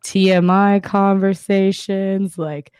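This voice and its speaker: A young woman co-hosting a podcast, chatting casually and acting out the people in her story, with a slightly raspy voice. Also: medium pitch, takes it slowly. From Southern California. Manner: chatty, animated retelling with quoted voices and laughs. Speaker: podcast co-host.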